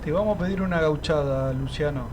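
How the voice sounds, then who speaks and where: A man's voice: fairly high pitch, a little fast, background noise. A man with a Rioplatense accent hosting a radio show, wrapping up in a friendly colloquial way. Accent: Rioplatense Spanish. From Argentina. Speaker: radio host.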